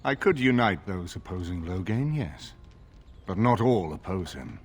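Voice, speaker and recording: An actor playing a grave older nobleman in a fantasy game, with formal, measured, commanding delivery. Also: deep voice, animated, husky, heavily acted. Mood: grave, resolute. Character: grave noble lord, older man recovering from illness.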